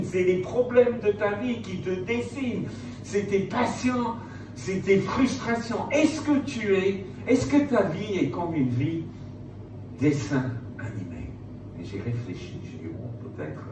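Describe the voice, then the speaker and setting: A middle-aged man preaching to a live audience, telling stories in an animated, theatrical way. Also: high-pitched. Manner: animated, theatrical retelling with acted-out dialogue. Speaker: preacher, former pastor. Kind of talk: storytelling sermon to a live audience. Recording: very echoey room, distant mic, clear noise.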